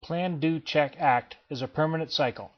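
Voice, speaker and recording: A man giving a scripted voice-over for a training slideshow, in a measured, explanatory way. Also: on the high side. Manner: measured, even explanatory delivery. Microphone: voice-over, not recorded in pictured place. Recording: dull lo-fi voice-over.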